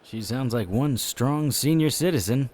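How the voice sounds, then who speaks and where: An actor playing a tough, wry private eye in a noir audio drama, with a deadpan delivery. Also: male voice, medium pitch, a little slow. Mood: businesslike.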